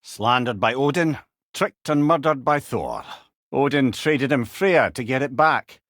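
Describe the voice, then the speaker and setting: An actor playing a witty, learned old storyteller god in a video game, with a Scottish accent and wry, eloquent, old-fashioned phrasing. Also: male voice, medium pitch, expressive, unhurried, fairly bright tone, dry recording, clean studio sound. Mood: grave, storytelling. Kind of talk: video game character dialogue, storytelling banter.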